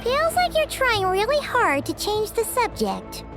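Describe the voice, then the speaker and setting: Game casting: tiny childlike sidekick girl, extremely high voice with swooping intonation, pert. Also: huge pitch swings, a little slow, over music, exaggerated voice. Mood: pert, questioning. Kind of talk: video game voice acting.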